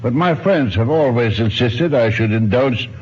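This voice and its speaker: A man's voice: mid-range voice, lively intonation, normal speed, breathy edge. An American man in his nineties from Washington, D.C., answering as a radio interview guest in a courteous, dryly humorous way. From Washington, D.C., United States. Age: 90s. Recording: muffled 1950s radio broadcast, faint noise.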